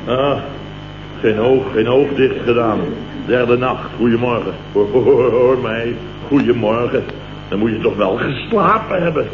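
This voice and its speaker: An old, hissy and muffled radio comedy recording with an echoey room. A man acts out a sleep-starved Dutch company boss at a middling pitch. He rambles and repeats himself, blustering and full of self-pity. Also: a little slow, natural voice. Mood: weary, indignant. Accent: Dutch, from the Netherlands. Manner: rambling, repetitive, exclamatory grumbling. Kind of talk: late 1960s comic radio play acting. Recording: dull, noisy, roomy old radio recording.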